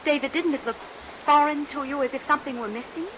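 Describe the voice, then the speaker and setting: A woman's voice: high-pitched, fast talker, background noise, lightly acted. A young woman acting in a vintage radio drama as a scientist's worried, pleading daughter, earnest and dramatic. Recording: old radio recording under steady rain.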